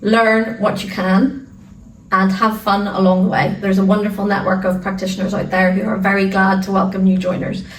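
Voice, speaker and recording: A middle-aged Irish woman, an arbitration lawyer answering interview questions in a measured, articulate way with the odd repeated word. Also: mid-range voice, level tone. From Ireland. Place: residing in London. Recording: roomy sound, distant mic, faint noise.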